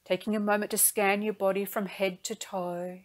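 A woman leading a guided meditation in a gentle, soothing, unhurried manner, speaking in soft phrases. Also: mid-range voice, level tone.

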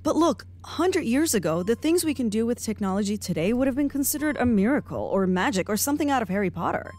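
A woman's voice: fairly high pitch, animated, quick pace, darkish tone, natural voice. A woman playing a wry, level-headed, sceptical agent in a comedy-adventure podcast, pointed but natural in delivery. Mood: thoughtful.

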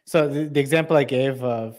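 A man's voice: medium pitch, fairly quick, darkish tone. A man co-hosting a casual political livestream, interjecting with conversational, analytical commentary. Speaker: show co-host. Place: indoor room, livestream video call.

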